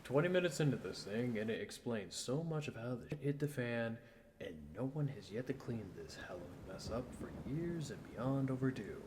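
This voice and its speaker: A man's voice: mid-range voice, lively intonation, moderate pace, crowd noise, close to an everyday voice. An actor playing a wry, scruffy injured man with a teasing, informal manner in naturalistic audio drama.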